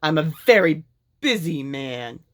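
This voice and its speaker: A stingy, self-important lord from a children's storybook, acted out by a woman reader. He is loud and curt, snapping dismissively at whoever he addresses. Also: sits low, big pitch swings, a little slow. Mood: irritated, dismissive. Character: gruff, stingy, bossy male lord.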